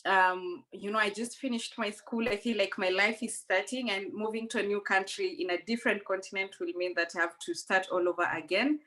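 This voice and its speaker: A woman's voice: mid-range voice, fairly even intonation, brisk, hardly any pauses, pushed and bright. A young woman from Rwanda, raised in Kenya, speaking earnestly as a guest in a conversational interview, often restarting her sentences.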